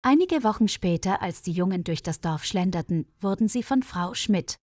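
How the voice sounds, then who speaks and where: Female storyteller for learner audio, animated intonation, dry close sound, scripted narration. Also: mid-range voice, normal speed, no echo.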